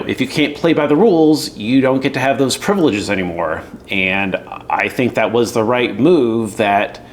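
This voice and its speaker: Casual, opinionated male commentator, faint background noise. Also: mid-range voice. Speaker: commentator reacting to a news segment.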